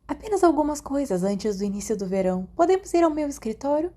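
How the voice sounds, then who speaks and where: Storybook role: caring Brazilian matron nurse, voiced by a woman narrator, gentle, attentive, raised mellow pitch. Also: sing-song, fast talker. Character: kindly, caring female school nurse.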